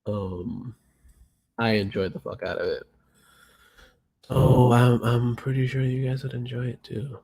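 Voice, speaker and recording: A man's voice: medium pitch, fairly even intonation, a little slow, creaky, long pauses, a little mellow, breathy edge. A man hosting a casual podcast, talking loosely with lots of fillers and swearing. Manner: loose, profane, filler-heavy. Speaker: podcast host.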